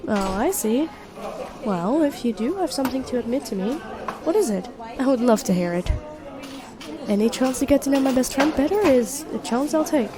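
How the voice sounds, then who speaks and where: Clear cafe chatter surrounds a highish young female voice played by a woman. She is a sweet, supportive best friend hiding a crush. She speaks in gentle, earnest, conversational roleplay style, with soft hesitations. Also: lively intonation, normal speed. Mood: playful, encouraging. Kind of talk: intimate roleplay audio acting, one-sided dialogue. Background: coffee shop crowd ambience.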